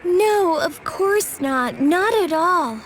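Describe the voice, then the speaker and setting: A woman's voice: extremely high pitch, expressive, extremely slow, slight background noise, exaggerated voice. An actress playing a small, earnest, plucky young girl in a video game. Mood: hasty denial. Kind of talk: dubbed video game cutscene acting.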